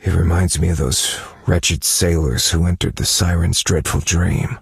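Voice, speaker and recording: A brooding detective-novelist in a mystery game speaks in an extremely low, husky voice. He is analytical and measured, murmuring thoughtfully to himself. The sound is dry and close, with a gravelly rasp. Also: fairly even intonation, dry recording, full character voice. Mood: pondering, puzzled. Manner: measured, contemplative, musing to himself with murmured hums. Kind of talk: scripted game character monologue.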